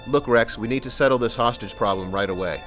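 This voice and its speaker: A man's voice: mid-range voice, quick pace, music in the background, lightly acted. A man playing a patient, reassuring police sergeant in an audio drama. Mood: firm. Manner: reassuring, plain-spoken, patient. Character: calm, fatherly male police sergeant negotiating.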